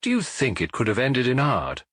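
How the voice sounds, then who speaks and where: A male narrator plays a proud, talkative wife in a detective audiobook. She explains eagerly in a raised pitch with lively swings and a slightly husky tone. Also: normal speed, no echo, studio quality. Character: proud, voluble housewife eagerly explaining to police.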